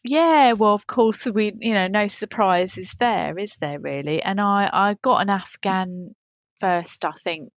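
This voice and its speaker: A woman's voice: medium pitch, unhurried, dry recording, highs cut off, natural voice. A British woman playing a chatty pop singer, rambling matter-of-factly as a podcast host. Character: chatty dog-owning pop singer answering fan questions. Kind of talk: comic in-character advice segment.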